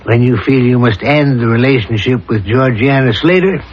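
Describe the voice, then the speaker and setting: An actor playing a calm, insistent psychiatrist with a measured, deliberate delivery in a 1970s radio drama. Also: male voice, mid-range voice, slight background noise, close to an everyday voice. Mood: insistent, methodical. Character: calm, probing male psychiatrist pressing his patient with theories. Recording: dull lo-fi 1970s radio recording.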